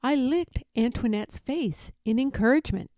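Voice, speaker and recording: A woman reading a children's picture book aloud as an expressive, deliberate storyteller. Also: on the high side, dry recording, studio quality.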